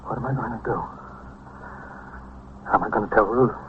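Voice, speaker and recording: A man's voice: lowish voice, fairly even intonation, brisk, lightly acted. Man acting a middle aged american police sergeant dad, vintage radio play, tinny with some hiss. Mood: stunned, grieving. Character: middle-aged patrol sergeant, grieving father of a dead son.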